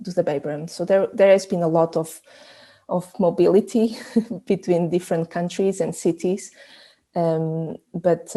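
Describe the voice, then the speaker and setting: A woman from Portugal, Portuguese her first language, answers interview questions about her research. Her voice sits low and mellow and stays fairly flat in pitch. She explains in a measured way and often restarts her phrases. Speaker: immunologist, research group leader.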